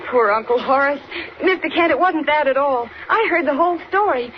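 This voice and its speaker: A woman's voice: high-pitched, expressive, a little fast. An actress playing an anxious, distressed young woman in 1940s radio drama style. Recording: dull, noisy 1940s radio recording.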